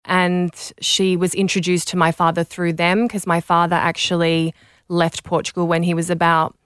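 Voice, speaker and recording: A woman's voice: on the low side, flat delivery, fairly bright tone, smooth, dry recording, studio quality. An Australian woman in her thirties, a guest on an interview, answering in a friendly, agreeable way. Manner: friendly, agreeable, quick affirmations. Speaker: guest, model and entrepreneur.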